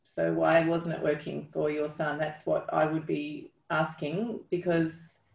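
A middle-aged woman with a gravelly creak in her slightly low voice takes questions on a video call. She talks informally and haltingly, and the room around her sounds echoey. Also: level tone, darkish tone. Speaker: clinician leading a question session. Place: room with brick wall, indoors. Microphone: video call on a computer.

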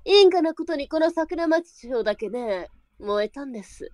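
A woman playing a shrewd, sharp-tongued, brisk brothel madam in a period audio drama. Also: sits high, lively intonation, no echo. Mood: wary, businesslike. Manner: blunt, switches to formal politeness with guests.

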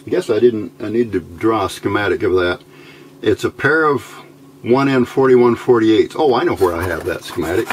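A man with a fairly low voice talks through a hands-on electronics demonstration. He is informal and halting, working out his words as he goes. The amateur recording, made indoors at a workbench, carries some background noise. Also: animated. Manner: halting, informal, thinking aloud. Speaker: electronics hobbyist demonstrating a homebuilt circuit. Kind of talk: unscripted hands-on tutorial.

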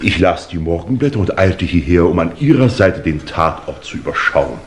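A fawning, fussy lawyer in a fifties radio drama. The voice is deep yet very lively in pitch, formal and obsequious, on an old, slightly noisy recording. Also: male voice, moderate pace. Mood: soothing, fawning. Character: officious, fawning family lawyer to a lord. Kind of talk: 1950s German radio play acting.

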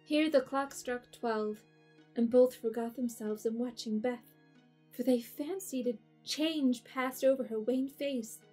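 A woman narrating an audio drama in a measured storybook reading. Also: fairly high pitch, dark tone, faint music behind. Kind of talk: scripted narration.

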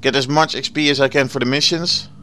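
A man's voice: medium pitch, brisk, a little hiss or hum. Dutch-accented man chatting over gameplay. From Netherlands. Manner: relaxed, chatty, searches for words now and then. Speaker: gaming channel host. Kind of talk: casual gameplay commentary.

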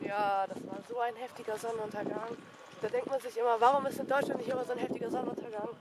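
A young woman vlogging on the coast, excited and full of casual slang. She has a slight cold. Her voice is caught outdoors on a handheld camera. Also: fairly high pitch, quick pace, noisy background. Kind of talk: casual travel vlog chat.

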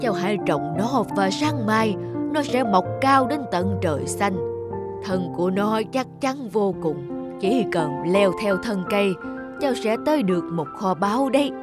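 A performer voicing a wise, mysterious old man in a children's cartoon, measured and persuasive. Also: mid-range voice, lively intonation, loud music behind the voice, natural voice. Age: older.

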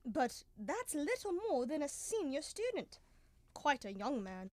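Young woman as protesting detective's companion, slow delivery, dry sound, indignant gentleman, old radio drama. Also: high voice, big pitch swings, no room sound. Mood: doubtful. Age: young adult. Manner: hesitant, protesting. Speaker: student actor. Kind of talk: 1930s-style radio drama acting.

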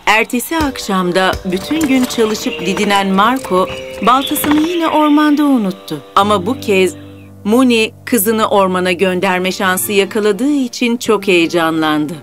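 A woman's voice: medium pitch, expressive, a little slow. A woman narrating a scripted fairy tale as the storyteller. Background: background music.

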